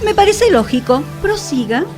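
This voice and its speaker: A woman's voice: sits high, big pitch swings, normal speed, a little mellow, soft background music. An actress playing a curious regal lady of enchantment in a radio play, theatrical and questioning.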